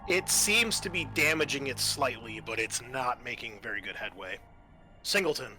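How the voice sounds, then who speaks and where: A man narrating and refereeing a tabletop role-playing game as game master, explaining rules in a halting way. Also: mid-range voice, expressive, over music.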